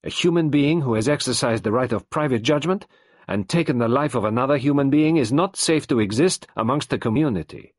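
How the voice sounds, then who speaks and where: A man's voice: mid-range voice, brisk, no room sound, clean studio sound, voice pushed up, natural voice. A man reading the part of a stern, precise elderly male detective who speaks formally and insistently.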